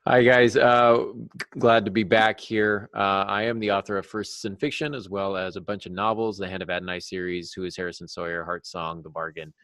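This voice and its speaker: A man co-hosting a podcast, explaining casually with halting false starts and small jokes. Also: low voice, steady tone, fairly bright tone, dry recording. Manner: joking, hesitant false starts. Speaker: podcast co-host, novelist.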